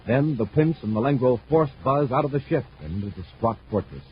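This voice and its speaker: A man's voice: medium pitch, expressive, a little fast, lightly acted. A man narrating a vintage space adventure radio serial in a dramatic announcer style. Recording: muffled, very noisy old radio recording.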